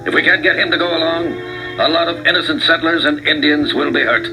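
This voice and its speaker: A commanding army general gives orders in a firm, fairly high voice, as a man acts him out. Music plays behind him in this storybook recording. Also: fairly quick, lightly acted, rough recording. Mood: stern. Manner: firm, authoritative. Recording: voice over music. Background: background music.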